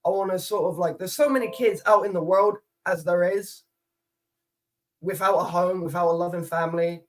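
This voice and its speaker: A man's voice: sits high, fairly even intonation, normal speed. A man in his twenties from Poland, chatting casually as a guest, rambling and swearing easily. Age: 20s. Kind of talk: casual chat.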